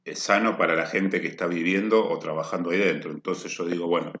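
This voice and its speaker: A man's voice: sits low, steady tone, quick pace, fairly bright tone. A man giving a technical workshop lecture, explaining step by step and often rephrasing his points to check the audience follows. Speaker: energy efficiency lecturer. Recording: echoey room.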